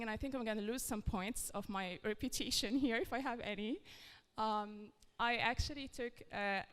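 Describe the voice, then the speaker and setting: Woman moderator, smooth higher voice, chatty and self-mocking, long hall reverb. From Morocco. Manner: chatty, self-deprecating, laughing often. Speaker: panel moderator. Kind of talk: conference panel moderation. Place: large conference hall.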